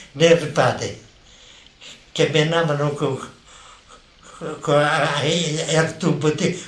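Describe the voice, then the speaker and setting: A man in his eighties gives testimony very slowly. His voice is fairly high, and he stammers and repeats words as he searches for them. The recording is roomy and echoey. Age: 80s. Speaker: survivor giving testimony. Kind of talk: spoken reminiscence.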